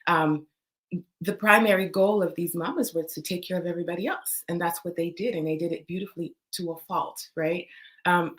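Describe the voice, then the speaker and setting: A middle-aged woman from Haiti, a trauma-informed coach, speaking as a guest in a thoughtful, reflective way and often ending points with "right?". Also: on the low side. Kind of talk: reflective interview talk.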